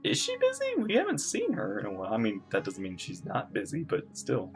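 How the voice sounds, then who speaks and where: A woman streamer chatting through a game live, playful and easily excited. Her voice sits very low but swings wildly in pitch, with faint game music behind. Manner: playful, excitable, quick asides. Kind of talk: casual live reaction chat.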